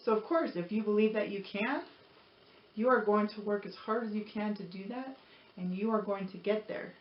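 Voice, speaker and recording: A woman's voice: medium pitch, normal speed. A woman talking casually to viewers in an earnest, encouraging, rambling way, like a motivational vlogger. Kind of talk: casual personal talk to viewers. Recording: roomy echo, dull lo-fi audio, noisy.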